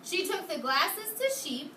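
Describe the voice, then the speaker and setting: High-voiced woman teacher reading to kindergarteners. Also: animated, unhurried, sharp tone. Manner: encouraging, questioning, praising pupils. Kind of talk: interactive storybook read-aloud to young children. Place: indoor kindergarten classroom. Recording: roomy, distant mic, noisy classroom.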